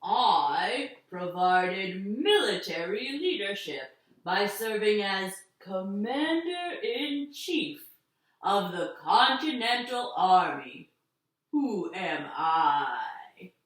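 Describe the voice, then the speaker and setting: Lady doing a dignified army general guy for a puppet show, slow and emphatic, echoey. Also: medium pitch, expressive, a bit bright. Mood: stately. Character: dignified military commander-in-chief of the Continental Army, male. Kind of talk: children's puppet show riddle monologue. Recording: roomy echo, distant mic.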